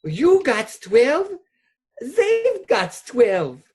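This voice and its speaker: A woman's voice: high voice, huge pitch swings, very slow, a little mellow, husky, full character voice. A woman playing a nervous, wheedling male doctor, a criminal's hesitant sidekick in a radio play. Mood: wheedling, anxious. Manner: hesitant, pleading.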